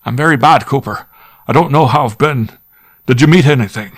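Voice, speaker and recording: A man voicing a middle-aged country squire who speaks in loud, pleading rustic dialect. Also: mid-range voice, moderate pace, creaky, voice pushed up, close to an everyday voice. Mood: panicked.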